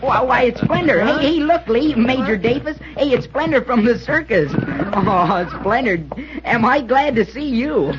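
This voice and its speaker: An actor playing an excitable, polite boy cadet who stammers and gushes in an old-time radio drama. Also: very high-pitched, a little slow, few pauses. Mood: overjoyed, excited. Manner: stammering, gushing, respectful. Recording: muffled, noisy old radio recording.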